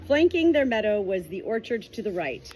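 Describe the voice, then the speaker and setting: Woman reading aloud over clear background noise. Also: mid-range voice, very animated, normal speed. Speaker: amateur book reader, channel host. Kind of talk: expressive audiobook storytelling with casual asides. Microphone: phone. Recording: noisy home phone recording.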